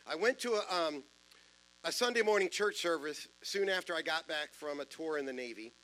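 A man in his fifties with a deep voice, preaching a sermon in a church in a conversational way, with self-deprecating jokes and asides. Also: lively intonation, normal speed, fairly bright tone, poor audio. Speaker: pastor. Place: church sanctuary.

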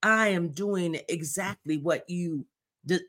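A woman's voice: fairly low pitch, slow, fairly bright tone. A woman in her fifties, a therapist, speaking earnestly and encouragingly in a faith talk conversation, with frequent restarts. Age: 50s. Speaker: therapist, co-host.

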